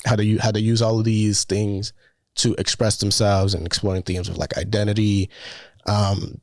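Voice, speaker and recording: Young man, relaxed rambling talk. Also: lowish voice, moderate pace, no echo, clean studio sound. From Dominican Republic. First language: Spanish. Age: young adult. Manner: relaxed, rambling, many "like" fillers. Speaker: student filmmaker guest. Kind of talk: casual conversation.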